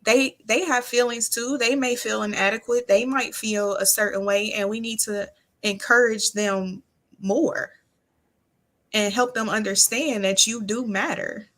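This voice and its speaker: Bright-voiced American woman, candid guest. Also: fairly high pitch, steady tone, a little slow. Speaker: interview guest. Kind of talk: candid casual conversation.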